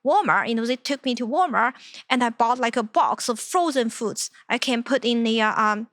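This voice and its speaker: A young woman from China speaks English with a Chinese accent, her voice a touch higher than most. She recounts her past in a halting, searching way, full of little fillers. The sound is close and dry. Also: expressive, a bit bright, no echo. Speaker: interview guest, company founder. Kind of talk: personal storytelling in conversation.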